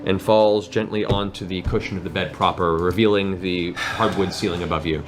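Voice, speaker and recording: Low-pitched male game master, theatrical and descriptive storyteller, clearly noisy room recording. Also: fairly even intonation, normal speed. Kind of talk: improvised narration of a tabletop game.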